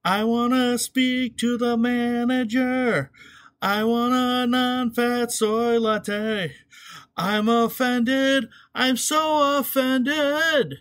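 A man voicing a whiny, offended American customer in a drawn-out, sing-song comedy parody of an anthem. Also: extremely high pitch, fairly even intonation, fairly bright tone, no echo, studio quality, pitched above the performer's own voice, full character voice.

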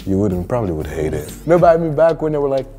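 A man's voice: medium pitch, big pitch swings, fast, mellow, soft background music. A young man in his twenties from Tunisia, raised in London and Paris, teasing his partner and laughing often during a casual couple's quiz game in a studio. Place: indoor pink-walled studio, seated.

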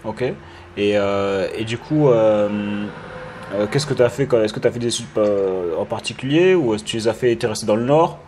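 A man in his thirties hosting a live interview over a video call, chatty and relaxed, with many fillers and restarts. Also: on the low side, moderate pace, a little hiss or hum. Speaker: interview host. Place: indoor room with lamp and wall art. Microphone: webcam on video call.

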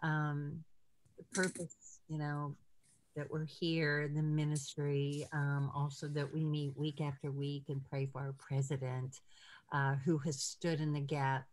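An older woman leading an informal ministry video call, speaking in an encouraging, halting way from a room at home. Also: low voice, level tone, a little mellow, slight background noise, low-quality recording. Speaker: ministry leader hosting a call. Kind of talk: informal prayer-call talk. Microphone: video call computer mic.

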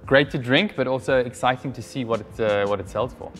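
A middle-aged man, speaking as a wine specialist in a measured way with frequent hesitant fillers, standing in a decorated indoor room. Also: mid-range voice, a bit bright, faint music behind. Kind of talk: conversational presentation.

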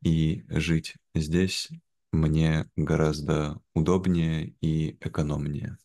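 Very deep-voiced, slow-talking young man. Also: level tone, mellow. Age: young adult. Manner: measured, careful, deliberate phrasing. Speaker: guest, language teacher. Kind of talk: slow, simplified conversation for language learners. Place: small home room, video call. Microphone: video call, wearing headphones.

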